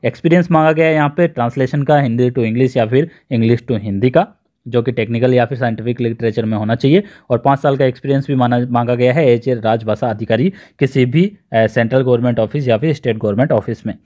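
A man's voice: medium pitch, steady tone, fast, warm tone. A man with an Indian accent narrating an explanatory screen-share tutorial in an instructional, step-by-step way, repeating points for emphasis. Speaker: presenter of job notification videos.

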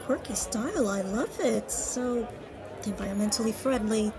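A woman's voice: mid-range voice, normal speed, lightly acted. A woman playing a middle-aged, wealthy, gushing and condescending society wife in a fiction podcast. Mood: gushing, smug. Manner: gushing, condescending, self-absorbed. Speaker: supporting character. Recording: busy crowd chatter behind.

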